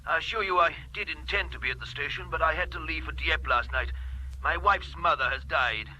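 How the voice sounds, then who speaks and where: An actor playing an apologetic, anxious middle-aged man speaking over the telephone in a radio play, hesitant and halting. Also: a little high, bright, forceful tone, a little hiss or hum, low-quality recording.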